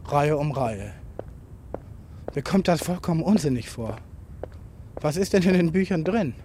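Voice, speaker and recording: A man's voice: fairly high pitch, lively intonation, measured pace, warm tone. A man speaking an improvised, introspective monologue into a tape recorder in fragmented observations. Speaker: writer. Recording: noisy home tape recording.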